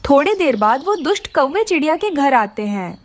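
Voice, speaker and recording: High-voiced woman narrating a children's story. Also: very animated, moderate pace, no room sound, studio quality.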